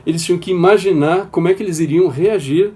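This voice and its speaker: A man directing the production, calmly explaining how it was made. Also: high voice, quick pace, slightly rough. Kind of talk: interview talk.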